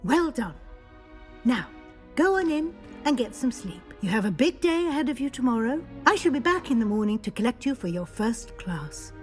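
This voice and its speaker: An actress playing a middle-aged British deputy headmistress in a fantasy game, courteous, formal, brisk and kindly. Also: female voice, on the high side, sing-song, a little mellow, soft background music. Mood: welcoming.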